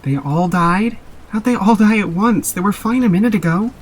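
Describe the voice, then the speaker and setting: A woman's voice: mid-range voice, moderate pace, lightly acted. A woman playing a cool, guarded young woman in an audio drama, terse and deflecting. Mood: startled, testy. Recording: faint hum and noise.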